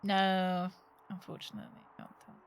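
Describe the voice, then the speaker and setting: Animated woman streaming a game. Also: fairly low pitch, moderate pace, slight background noise. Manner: playful, exclamatory. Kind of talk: casual live gameplay commentary, thinking aloud.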